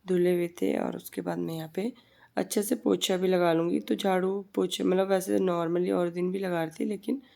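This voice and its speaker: A woman in her thirties with a hoarse, heavy voice from a sore throat, chatting and rambling through a casual voice-over for her family vlog. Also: fairly low pitch, fairly even intonation, moderate pace, dark tone. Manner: chatty, rambling, repeats herself. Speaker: family vlogger. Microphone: voice-over recorded separately from the footage.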